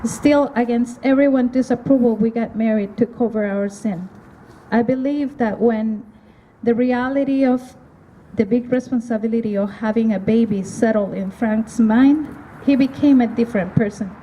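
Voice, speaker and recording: A middle-aged woman from El Salvador giving an earnest, measured testimony at an outdoor evening rally, pausing between phrases. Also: a little high, dark tone, highs cut off. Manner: earnest, measured delivery with pauses. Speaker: rally speaker. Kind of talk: prepared testimony speech. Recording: outdoor recording with clear ambient noise.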